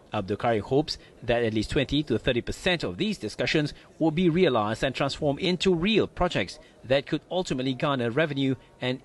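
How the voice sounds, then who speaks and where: Formal male news presenter. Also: medium pitch. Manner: even, formal reading. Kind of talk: scripted news narration. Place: news studio.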